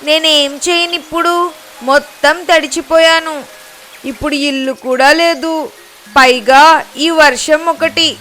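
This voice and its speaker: A woman's voice: high voice, fairly even intonation, slow pace, pauses often, fairly bright tone, slight background noise, pitched above the performer's own voice. A woman voicing a lazy, self-pitying male crow in a children's cartoon story, grumbling and exclaiming. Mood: whining, pleading. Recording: music behind the voice.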